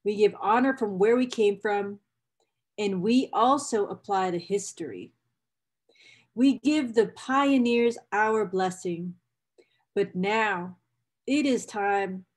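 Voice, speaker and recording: A woman's voice: mid-range voice, takes it slowly. A woman reading a book excerpt aloud as a presenter, with casual asides to viewers, speaking enthusiastically and repeating phrases for emphasis. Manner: enthusiastic, emotionally invested.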